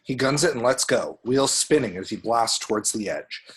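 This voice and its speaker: A man with a husky voice narrating action descriptions at a screenplay table read. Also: medium pitch, animated, moderate pace, fairly bright tone.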